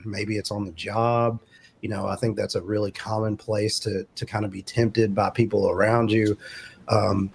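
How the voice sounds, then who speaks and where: Lower-pitched man co-hosting a talk show. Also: darkish tone. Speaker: talk show co-host and relationship commentator. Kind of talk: conversational advice, talk show discussion.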